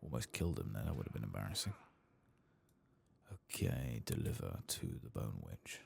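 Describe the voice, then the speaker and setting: Very deep, gravelly soft-spoken man. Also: very animated, normal speed, a little hiss or hum. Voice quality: breathy, gravelly, drifting into whisper. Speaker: gaming ASMR host. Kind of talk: soft-spoken, whispered ASMR game playthrough commentary.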